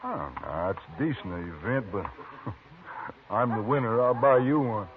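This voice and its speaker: A man's voice: mid-range voice, animated, unhurried, crowd noise. An actor playing a jovial, boastful man who has just won at cards, in a 1950s radio drama, talking in a hearty, chuckling way. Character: boastful, lucky card-winning man, hearty. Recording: scratchy, tinny old radio broadcast.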